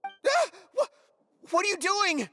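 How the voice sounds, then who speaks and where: A woman's voice: very high-pitched, big pitch swings, takes it slowly, long reverb tail, background noise, full character voice. An actress playing a nervy, defiant young female culprit in a video game, stammering and defensive. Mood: startled.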